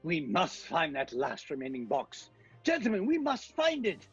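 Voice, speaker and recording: An actor playing an urgent, commanding professor who hunts vampires, in a dramatic radio-play style with a husky voice. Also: male voice, on the high side, huge pitch swings, moderate pace, lo-fi sound, faint music behind, heavily acted. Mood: desperate insistence.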